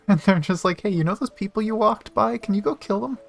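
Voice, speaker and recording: A woman's voice: mid-range voice, brisk, creaky. A woman streaming a game live, chatting casually and playfully as she plays. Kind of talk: live game stream, reading story dialogue aloud and commenting casually.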